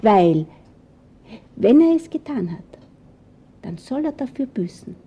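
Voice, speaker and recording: Crime radio play: nervous, righteous Austrian female witness, very mellow, rough-edged voice, hesitant. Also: fairly high pitch, very animated, slow, heavily acted. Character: nervous, righteous female informant, dutiful and vindictive. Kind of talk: radio crime drama acting.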